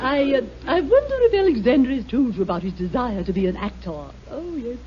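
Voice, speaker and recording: An actress playing a gushing, flighty schoolteacher in a 1950 radio sitcom, fluttery and dotty. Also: female voice, a little high, sing-song, fairly quick, crowd noise. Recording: dull old radio broadcast.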